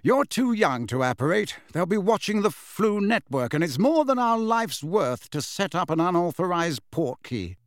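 A man's voice: sits high, very animated, normal speed, hardly any pauses, no room sound, studio quality, pitched above the performer's own voice. A man voicing a sensible adult wizard mentor in an audiobook, with a practical, explanatory manner. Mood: brisk, practical. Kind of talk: audiobook character voice.

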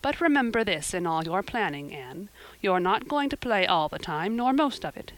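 A woman's voice: medium pitch, big pitch swings, moderate pace, a little hiss or hum, lightly acted, rough recording. A woman voicing a stern older woman guardian with a dry, sarcastic, firm manner.

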